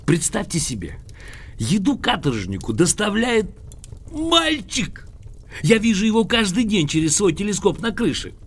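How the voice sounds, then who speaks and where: Cranky high-voiced old gentleman, swooping, pompous. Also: big pitch swings, unhurried, heavily acted. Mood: insistent. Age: older. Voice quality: creaky, husky. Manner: pompous, emphatic, theatrical. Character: cranky, litigious old English gentleman, boastful and excitable. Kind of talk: audio drama acting.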